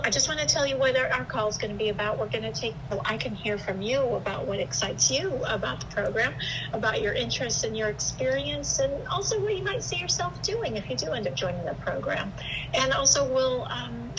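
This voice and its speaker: High-voiced woman on a noisy phone call. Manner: upbeat, encouraging, chatty, slightly halting. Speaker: phone interviewer, recruiter. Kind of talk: conversational phone interview.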